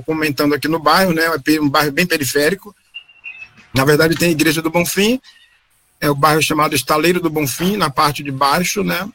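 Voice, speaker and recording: A middle-aged man talks from home over a video call, a touch high in pitch for a man. He winds through his story with self-corrections and constant "né?" tags. A faint hiss sits under the call. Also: fairly bright tone. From Salvador, Bahia, Brazil. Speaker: interview guest. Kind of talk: casual storytelling interview. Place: home room.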